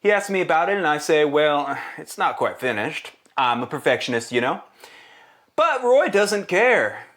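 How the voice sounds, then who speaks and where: A smarmy indie film director in an audio drama interview. His voice sits fairly high for a man and swings expressively. He is glib, boastful and far too familiar, forever selling himself. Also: very animated, moderate pace, a bit bright. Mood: boastful, self-justifying. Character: smarmy, sleazy, self-important male indie film director. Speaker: actor playing an interview guest. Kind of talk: audio drama acting as a podcast interview guest.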